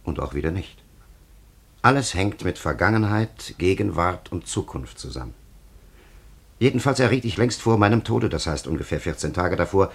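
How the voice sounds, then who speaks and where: An actor in a German radio play voicing a grandiose, fearless warrior commander from another age, solemn and declamatory. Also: male voice, low-pitched, creaky, a bit bright, slightly rough, exaggerated voice.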